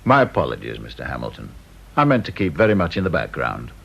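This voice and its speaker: An actor playing a needling senior British official in a radio drama, dry, sardonic and mock-polite. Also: male voice, rumbling low, huge pitch swings, quick pace, vocal fry, fairly bright tone, husky, exaggerated voice. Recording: dull old radio recording.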